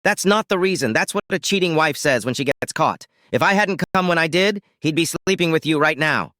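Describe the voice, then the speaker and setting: A man's voice: medium pitch, lively intonation, normal speed, a bit bright, no room sound, studio quality, natural voice. A man playing an angry, betrayed husband, confronting and accusing with sarcastic force. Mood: furious.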